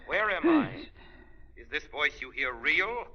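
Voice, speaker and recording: A man's voice: a little high, sing-song, unhurried. A man playing an unseen, taunting avenger in a 1930s radio drama, mocking and portentous. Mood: eerie taunting. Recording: muffled vintage 1930s radio recording.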